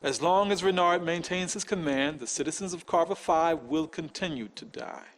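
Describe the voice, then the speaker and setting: An actor playing a resolute rebel leader and politician in a game cutscene, speaking earnestly. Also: male voice, a little high, normal speed, natural voice. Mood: grim resolve. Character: resolute male rebel leader turned planetary president. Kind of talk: dramatic game cutscene acting.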